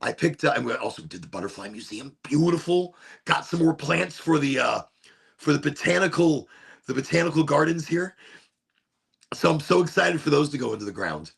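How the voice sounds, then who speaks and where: Man, livestream host, hoarse, gravelly, husky, upbeat rambling chat. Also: fairly high pitch, expressive, normal speed, a bit bright. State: losing his voice.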